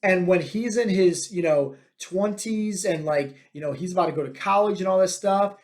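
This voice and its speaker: A man's voice: high voice, normal speed. A man in his thirties, a fitness coach hosting his own podcast, talking bluntly and with many fillers in a motivational monologue. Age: 30s. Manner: energetic, filler-heavy, many "like" and "right?".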